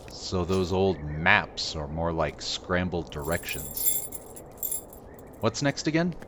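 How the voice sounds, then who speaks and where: An actor playing a teasing, easygoing adventurer in a fantasy audio drama, with a casual delivery. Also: male voice, sits low, big pitch swings, extremely slow, pauses often, background noise, heavily acted. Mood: fond. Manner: casual, playful. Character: teasing, easygoing adventurer, the hero's old friend.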